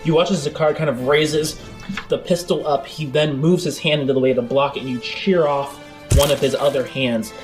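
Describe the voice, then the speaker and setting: A man narrating a tabletop game as game master, describing scenes in halting, improvised phrases. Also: a little high, steady tone. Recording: background music under the voice. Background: music.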